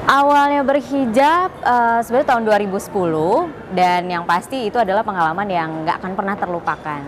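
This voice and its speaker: A woman's voice: mid-range voice, brisk. Thoughtful thirty-year-old woman, noisy. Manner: thoughtful, searching for words. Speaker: interviewee, magazine editor. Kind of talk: personal testimony interview.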